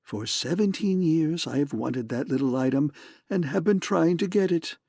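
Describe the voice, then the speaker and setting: Man putting on a purring jovial fat gent, throaty, gravelly, dry. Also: a little high, lively intonation, normal speed, darkish tone, no room sound, voice pushed up. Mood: genial. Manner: throaty purring, genial, formal.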